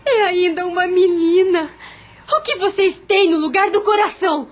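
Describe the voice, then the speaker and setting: A woman's voice: very high voice, steady tone, normal speed, slight background noise. An actress playing a grieving, defiant young lady of a plantation family in a Brazilian radio soap, with an emotional, dramatic delivery. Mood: pleading indignation.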